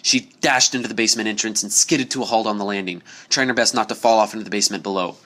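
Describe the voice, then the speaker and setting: A man's voice: fairly low pitch, fairly even intonation, quick pace, sharp tone. A man reading a scripted story aloud as narrator, in a dramatic storytelling style.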